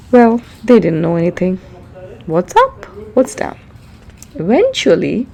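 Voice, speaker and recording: A woman's voice: lowish voice, vocal fry, a little mellow. A woman reading a children's story aloud, chatting and putting questions to young listeners as she explains.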